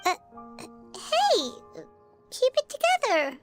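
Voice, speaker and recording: A woman voicing a tiny, cheeky, boastful childlike companion in an anime-style game, with a squeaky voice. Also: huge pitch swings, slow, full character voice. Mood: alarmed. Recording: music playing behind the voice.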